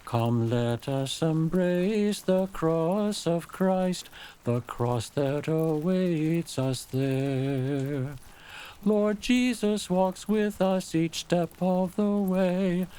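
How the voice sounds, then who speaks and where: A man voicing a cheerful, devout young Japanese boy captive in a storybook tale, gentle and animated. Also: fairly high pitch, very slow, clean tone, voice pushed up. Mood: devout singing. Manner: gentle, animated, singing at times.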